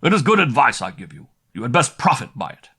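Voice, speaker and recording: A man's voice: medium pitch, huge pitch swings, fast, pushed and bright, breathy edge, pitched above the performer's own voice, full character voice. A man voicing a contemptuous rider giving a curt warning in a storybook reading. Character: scornful male rider warning off strangers.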